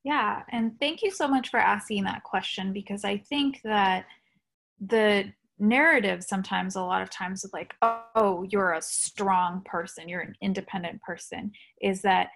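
A woman's voice: medium pitch, a little slow, gravelly, a bit bright. A woman being interviewed, talking earnestly and reflectively with frequent fillers. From India. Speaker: guest. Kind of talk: conversational interview.

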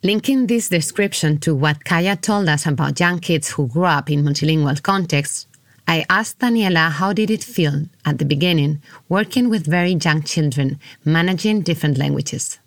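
A woman hosting an interview, reading out questions and narration in a measured, scripted way. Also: low voice, dry recording. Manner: measured, read-aloud delivery. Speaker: interviewer and host.

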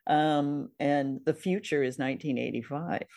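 A woman's voice: sits low, normal speed, mellow. A woman podcast host casually discussing old radio drama.

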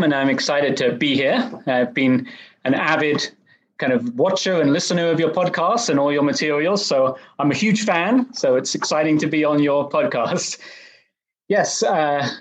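English guy on a podcast, articulate, slightly high voice, kinda muffled lo-fi sound. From England. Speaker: guest, M&A advisor. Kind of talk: podcast interview.

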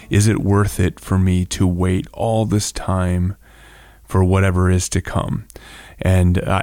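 A man's voice: low voice, darkish tone, dry recording, clean studio sound. A man hosting a conversational devotional reflection, speaking thoughtfully and posing rhetorical questions. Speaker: host giving a scripture reflection.

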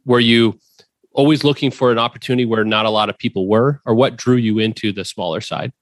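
A man's voice: mid-range voice, fairly quick, no room sound. A man co-hosting a finance podcast, chatting casually and joking. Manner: joking, conversational, summarizing. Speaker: podcast co-host.

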